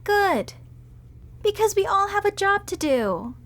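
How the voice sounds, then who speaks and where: A woman voice actor performing a sweet, gentle character in an acted audio roleplay, talking in a bubbly, soothing way. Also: extremely high pitch, big pitch swings, slow pace.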